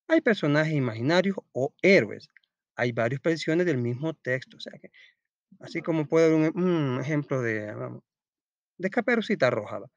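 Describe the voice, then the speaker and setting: Lively Salvadoran male teacher, dull recording. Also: a little high, dry recording. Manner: colloquial, chatty, asks questions and answers them himself. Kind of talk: informal school lesson, reading aloud and explaining.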